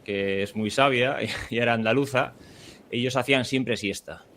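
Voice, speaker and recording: A man's voice: mid-range voice, moderate pace, a bit bright, slight background noise. A man hosting a podcast interview, talking casually with lots of restarts and fillers. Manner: rambling, many restarts and fillers, laughs often. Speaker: podcast host.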